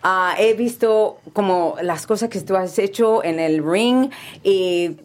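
A woman's voice: mid-range voice, slow, darkish tone. A woman from Spain hosting an interview, asking questions with enthusiasm in a casual chat. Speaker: interview host.

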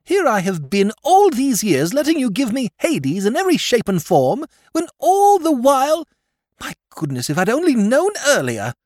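A man voicing an excitable young English aristocrat who talks in gushing exclamations, in a dry recording. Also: very high voice, normal speed, dry recording, pitched above the performer's own voice, full character voice. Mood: excited. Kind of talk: audiobook character voice.

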